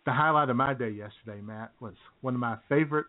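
A man's voice: medium pitch, fast talker. Chatty man on a tinny phone line. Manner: chatty, rambling storyteller with chuckles. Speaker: radio show co-host. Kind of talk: casual banter.

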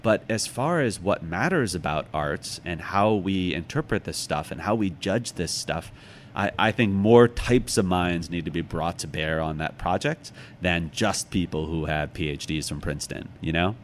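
An American man with a very low voice talks as an interview guest. He explains in a reflective, conversational way. There is clear noise behind him. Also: animated, moderate pace. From Chicago, United States. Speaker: interview guest, author and former museum guard. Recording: clearly noisy background.

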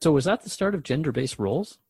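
A man's voice: a little high, lively intonation, quick pace, creaky, a little mellow, husky. A man hosting a radio drama dialogue, asking questions and musing aloud in a hesitant, conversational way. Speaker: radio host in a scripted dialogue.

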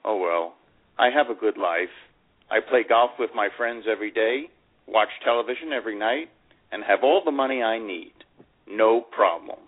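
A man's voice: on the low side, moderate pace, close to an everyday voice. A man playing a proud, lovelorn older divorced man, reading his memoir in an earnest storytelling manner. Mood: resigned. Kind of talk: dramatic first-person memoir reading. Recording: phone-like narrow radio sound.

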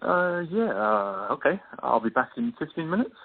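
A man's voice: mid-range voice, big pitch swings, moderate pace. A man playing an anxious, devoted boyfriend in an amateur radio play. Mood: awkward. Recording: thin phone-like audio, distant.

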